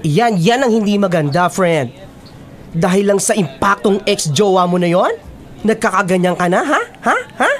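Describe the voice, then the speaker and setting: A woman's voice: mid-range voice, expressive, moderate pace, lightly acted. A young woman playing a concerned, scolding best friend in a Filipino radio drama, emphatic and exasperated. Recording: faint crowd chatter behind.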